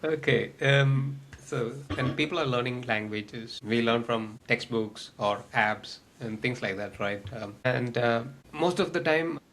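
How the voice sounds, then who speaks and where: A man's voice: mid-range voice, unhurried, hardly any pauses, a bit bright, slight background noise. A man co-hosting a casual interview, asking easygoing questions and laughing often. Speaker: host.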